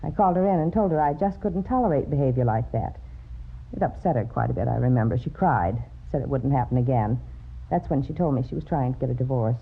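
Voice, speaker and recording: A woman's voice: very deep voice, fast talker, vocal fry, slightly rough, heavily acted. A woman playing a gossipy, disapproving middle-aged landlady, chatty and lamenting, in old radio drama style. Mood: prim, helpful. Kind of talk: 1950s radio drama acting. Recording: muffled old radio recording, faint hiss.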